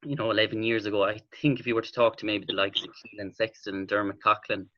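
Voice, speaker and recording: An Irish man gives earnest sports analysis on radio. His voice is low, fairly flat and quick, with a forceful edge. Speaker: sports journalist, panel guest. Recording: slightly dull lo-fi radio sound.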